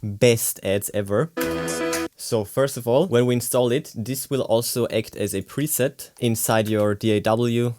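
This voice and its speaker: A man's voice: on the low side, a little mellow, no room sound. A man giving a casual, enthusiastic product demonstration as a tech reviewer, with exclamatory delivery. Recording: music playing behind the voice. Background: synth and guitar music.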